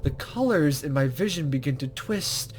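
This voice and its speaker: A man's voice: a little high, animated, normal speed, slight background noise. A man doing let's play commentary, reading visual novel text aloud and stumbling over unfamiliar words. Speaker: gaming video host.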